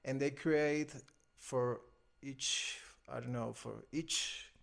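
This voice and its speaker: A man's voice: mid-range voice, fairly even intonation, takes it slowly, vocal fry, a bit bright. Hesitant Venezuelan man in his thirties. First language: Spanish. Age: 30s. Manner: hesitant, searching for words, laughs often. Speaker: interview guest, film editor. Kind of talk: casual interview conversation.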